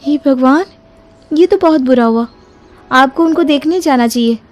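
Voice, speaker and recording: A woman's voice: high voice, normal speed, pitched above the performer's own voice, natural voice. A woman playing a polite, inquisitive young daughter-in-law in an acted moral story, with an eager, respectful manner. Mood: inquiring.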